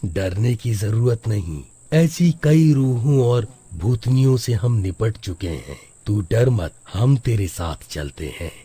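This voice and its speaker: A fatherly older holy man, acted by a male performer, with a low, husky voice. He talks slowly and with steady authority, in animated horror-story style. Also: full character voice. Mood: reassuring. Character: calm, protective older male holy mendicant.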